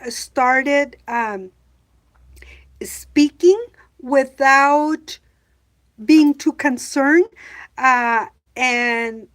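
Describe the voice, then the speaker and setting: Casting: middle-aged woman from Mexico, relaxed unhurried vlogger, halting delivery with lots of ums. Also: high voice. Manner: hesitant, many fillers, switches between English and Spanish. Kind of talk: casual bilingual vlog talk.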